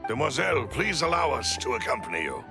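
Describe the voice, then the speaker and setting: A man's voice: mid-range voice, huge pitch swings, fast, creaky, over loud music. A man playing a loyal, deferential bodyguard in a video game, formal and courteous in speech. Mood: respectful.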